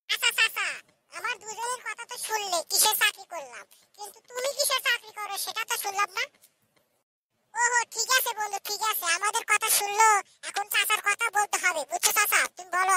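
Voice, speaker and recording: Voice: very high-pitched, brisk, sharp tone, no room sound, heavily acted. A performer voicing a cheeky young village lad in a comic cartoon, with a childlike voice, teasing and chatty. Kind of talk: comic Bangla cartoon dialogue. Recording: noisy recording with clicks.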